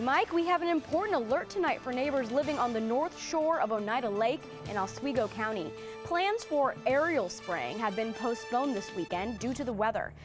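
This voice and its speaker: A woman with an American accent reading scripted news as an anchor. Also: fairly high pitch.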